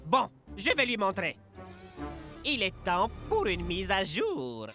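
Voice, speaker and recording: A man voicing a conceited, sarcastic, scolding groundskeeper inventor in an animated cartoon. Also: high-pitched, slow, faint music behind, exaggerated voice. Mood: smug. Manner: pompous, sarcastic.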